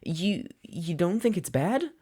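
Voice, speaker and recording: A man's voice: high voice, very animated, slow, dark tone, breathy edge, pitched above the performer's own voice, exaggerated voice. A man voicing an anxious, self-doubting teenage boy student who speaks hesitantly and stammers, in an audiobook-style story reading. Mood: timid, nervous.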